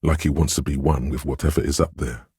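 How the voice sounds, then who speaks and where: An extremely deep, heavily husky male voice narrates as the player's sense of empathy in a video game. It is gentle, perceptive and sorrowful, with measured, solemn phrasing. The sound is dry and intimate. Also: steady tone, no echo, heavily acted. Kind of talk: scripted second-person game narration.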